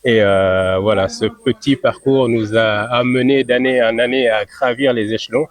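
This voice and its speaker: Measured man answering as club president. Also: mid-range voice, fairly even intonation. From France. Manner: measured, many hesitations on "euh". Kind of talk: interview answers.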